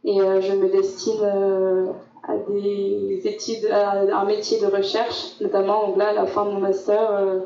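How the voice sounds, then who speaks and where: Flat-voiced young woman from French Guiana. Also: medium pitch, a little slow. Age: young adult. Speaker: master's student. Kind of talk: presenting her studies. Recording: dull roomy sound from far off.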